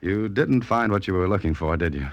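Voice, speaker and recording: Deep-voiced, wry radio sleuth. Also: male voice, animated, brisk, no echo. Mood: wry pressing. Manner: dry, understated, teasing. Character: cool, wry novelist turned amateur sleuth, a man. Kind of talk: 1940s radio drama acting and first-person narration. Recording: vintage radio recording with music.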